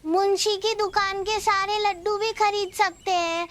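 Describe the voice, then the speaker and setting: An actor voicing a naive, eager young sidekick in a cartoon, squeaky and chatty. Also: fairly even intonation, measured pace, fairly bright tone. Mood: admiring, chatty. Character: naive, eager young sidekick who flatters his master. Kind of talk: Hindi cartoon voice acting. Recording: music behind the voice.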